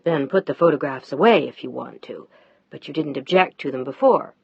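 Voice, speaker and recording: A woman voicing a husband, dropping lower and speaking curtly in a marital quarrel. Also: a little fast, gravelly, slightly rough, dry recording, muffled recording, heavily acted. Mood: annoyed. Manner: low, curt, annoyed. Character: man, a husband, tired then tender.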